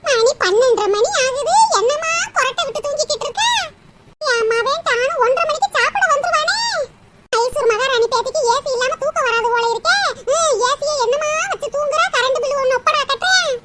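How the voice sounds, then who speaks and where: A woman's voice: very high voice, brisk, bright, forceful tone. A woman voicing a nagging cartoon mother-in-law who dotes on her son, with a rustic southern Tamil Nadu accent. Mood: scolding. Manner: sarcastic, rustic scolding.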